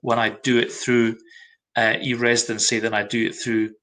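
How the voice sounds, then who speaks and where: Bright-voiced Scottish man. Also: mid-range voice, level tone. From Scotland. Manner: measured, frequent ums. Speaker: marketing and brand consultant. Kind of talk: webinar talk.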